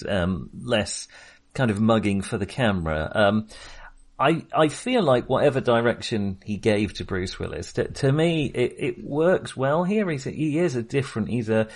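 A man co-hosting a casual film discussion, thinking aloud in a rambling, hesitant way. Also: lowish voice. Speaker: podcast co-host.

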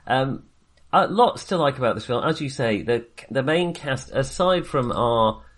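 A man's voice: mid-range voice, expressive, unhurried. A man chatting casually as co-host of a film review podcast, speaking in a hesitant, self-qualifying way.

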